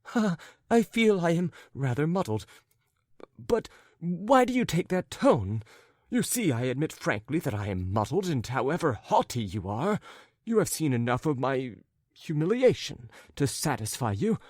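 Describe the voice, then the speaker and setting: A man voicing a muddled, apologetic middle-aged gentleman in a story, agitated and wordy, stumbling over and correcting himself. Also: fairly high pitch, big pitch swings, measured pace, no room sound, clean studio sound, voice pushed up. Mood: flustered, pleading.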